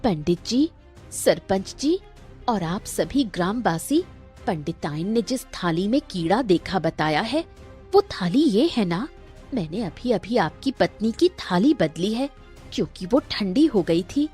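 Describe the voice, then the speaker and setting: A woman's voice: mid-range voice, very animated, a little slow, darkish tone, soft background music. A woman playing a bold, shrewd village woman. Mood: confident, challenging.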